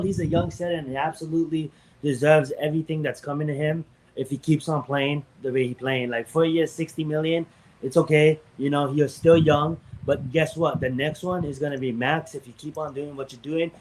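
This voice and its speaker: A man's voice: fairly high pitch, steady tone, fairly quick, darkish tone, poor audio. A man from Hawaii co-hosting a sports podcast, talking casually with lots of hesitation and self-corrections.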